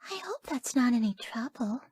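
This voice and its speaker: A woman voicing a sweet, sleepy, scatterbrained young woman in a video game, with a soft, airy, naive delivery. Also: on the high side, expressive, normal speed, close to an everyday voice. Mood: puzzled, uneasy. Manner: soft, airy, gentle, often dropping to breathy near-whispers. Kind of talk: video game character voice lines.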